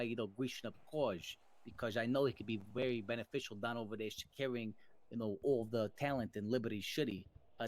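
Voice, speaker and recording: A man's voice: medium pitch, a little mellow, no room sound, close to an everyday voice. A man roleplaying a persuasive mafia boss in an online crime game, talking in rambling, emphatic, sweary wiseguy style. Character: smooth-talking male mafia boss planning family business.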